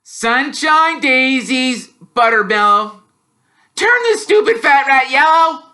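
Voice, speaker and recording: A man voicing an excitable, blunt young wizard boy who blurts loudly and is easily made gloomy. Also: very high voice, slow, pitched above the performer's own voice, heavily acted. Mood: showing off. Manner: loud, blurting, animated.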